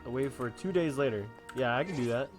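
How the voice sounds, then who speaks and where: A woman's voice: very deep voice, brisk, a little mellow, soft background music. A woman gamer chatting casually as she comments on her play. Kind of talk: casual chat over gameplay.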